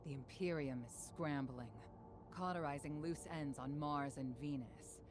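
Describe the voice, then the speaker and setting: A woman's voice: low-pitched, fairly even intonation, unhurried, noisy background. A woman playing a stern, mistrustful field commander in a video game, speaking in a clipped, commanding, scornful way. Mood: urgent, guarded. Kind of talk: scripted video game dialogue. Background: game music.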